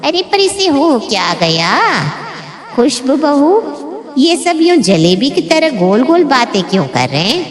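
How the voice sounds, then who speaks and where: A woman's voice: sits high, very animated, measured pace. A woman playing a nosy, chatty neighbourhood auntie in a family story drama. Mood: nosy, puzzled. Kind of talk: hammy family story dialogue. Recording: echoey, long reverb.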